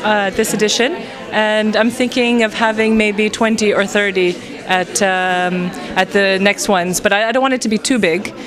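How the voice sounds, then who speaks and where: A woman's voice: medium pitch, steady tone, moderate pace. A woman organizing an art fair, answering interview questions with frequent hesitations and fillers. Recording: music playing underneath.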